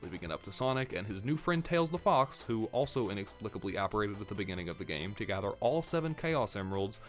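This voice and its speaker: A man's voice: lowish voice, very animated, fast, soft background music. A man narrating a scripted game review with wry, sarcastic asides, his voice worn from a cold. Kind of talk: scripted video essay narration.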